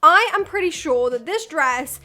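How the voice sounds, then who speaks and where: Casting: gushing young female vlogger, high bright voice, stuffy and nasal from a cold. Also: expressive, a little slow. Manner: chatty, gushing, frequent "like" fillers. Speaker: fashion YouTuber. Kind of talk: casual vlog review.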